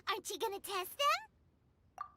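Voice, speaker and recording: A woman voicing a small, cheeky, childlike fairy companion in a video game, with a squeaky voice. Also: sing-song, a little slow, background noise, heavily acted. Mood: chirpy, cheeky. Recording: music playing behind the voice. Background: loud tinkly game music.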